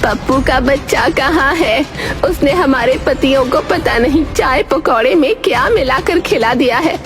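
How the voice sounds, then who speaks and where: A woman voicing a sharp, angry village wife who scolds and threatens. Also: sits high, measured pace. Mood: furious. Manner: scolding, shouting. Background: background music.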